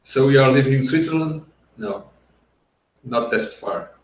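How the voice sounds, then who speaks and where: A man's voice: mid-range voice, a little slow, vocal fry, slight background noise. Guy hosting an interview, jokey and chatty, sounds echoey and kinda far from the mic. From Portugal. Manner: chatty and joking, laughs between remarks. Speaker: interview host. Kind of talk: casual interview over a call. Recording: roomy, from a distance off the mic.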